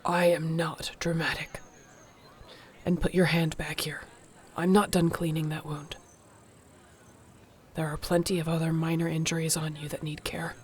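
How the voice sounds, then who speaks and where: A woman playing a protective, tender orc warrior girlfriend in an intimate audio roleplay, speaking in a soft, earnest way. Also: low voice, flat delivery, normal speed, pauses often, close to an everyday voice. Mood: gentle, reassuring. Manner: soft, earnest, soothing, partly whispered.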